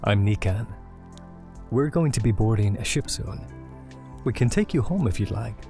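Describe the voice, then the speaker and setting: A man plays a young adventurer in a fantasy audio drama. His voice is fairly low and moves expressively. He sounds kind, earnest and reassuring, over faint music. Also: lively intonation, normal speed, gravelly, mellow.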